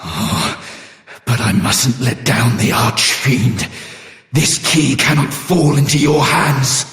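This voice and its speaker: A man's voice: medium pitch, slow pace, fairly bright tone. An actor playing a condescending, menacing villain's henchman in a fantasy video game. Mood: weakened, defiant. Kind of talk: scripted fantasy game voice acting. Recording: roomy, reverberant sound.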